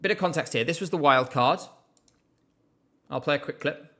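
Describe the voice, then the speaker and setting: A man's voice: fairly high pitch, normal speed, sharp tone. A man hosting a casual live stream, chatting in a rambling way with lots of fillers and a slight cough. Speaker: livestream host.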